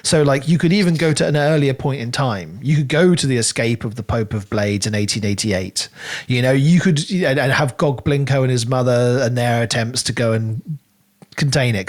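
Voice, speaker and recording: A male podcast co-host in relaxed book discussion, with a mid-pitched voice. He rambles and works out his thoughts as he speaks, in a conversational flow. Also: normal speed. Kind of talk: casual book review discussion.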